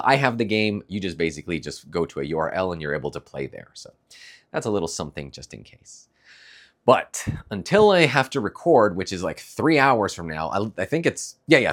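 Stuffy-nosed man chatting, lively and low. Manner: chatty, rambling, laughs often. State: stuffy nose from allergies. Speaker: streamer. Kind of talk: casual morning check-in vlog.

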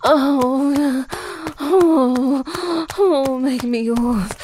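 A woman voicing a teasing, confident adult lover, speaking in a breathy, whispery way. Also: mid-range voice, takes it slowly, noisy background. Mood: passionate. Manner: breathy, whispery, moaning delivery. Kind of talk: scripted adult audio roleplay.

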